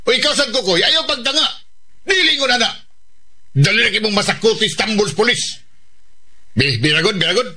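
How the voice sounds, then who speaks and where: High-voiced, cocky Filipino gang boss. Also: male voice, expressive, brisk, long pauses, pushed and bright, full character voice. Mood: bossy, instructing. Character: bossy, cocky male street pickpocket gang leader. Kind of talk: Filipino radio drama acting.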